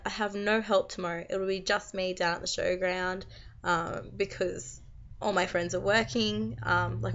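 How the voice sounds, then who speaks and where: A young Australian woman with a croaky voice from being sick, chatting to camera in a tired, rambling, venting way. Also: medium pitch, fairly bright tone. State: croaky from a long cold. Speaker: vlogger. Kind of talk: casual chat to camera. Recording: slightly dull lo-fi recording.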